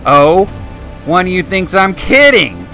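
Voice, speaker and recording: A man's voice: high voice, huge pitch swings, takes it slowly, soft background music, pitched above the performer's own voice, full character voice. Man voicing a barking foul-mouthed drill sergeant. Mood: barking, abusive. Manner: shouting, profane, mocking.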